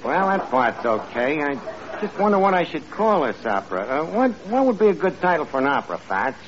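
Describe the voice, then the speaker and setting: An actor playing a brash, blustering New York tavern manager with slangy, ungrammatical speech and highbrow pretensions, in old-time radio comedy style. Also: male voice, sing-song, fairly quick, a crowd in the background. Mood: self-important. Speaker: comedy show lead. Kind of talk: 1940s-50s radio comedy acting. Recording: muffled vintage radio recording with noisy studio audience.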